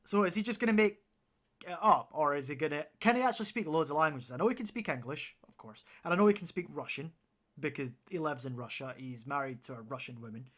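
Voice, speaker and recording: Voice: mid-range voice, fast talker, fairly bright tone. A streamer giving sarcastic, sweary reactions while playing a video game.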